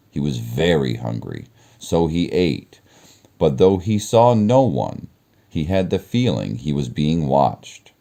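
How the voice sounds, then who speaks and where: A man with an extremely low, mellow voice reads a children's story aloud. His delivery is slow and measured, with an expressive storyteller's touch. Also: creaky. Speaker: storybook reader. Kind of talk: children's read-aloud narration.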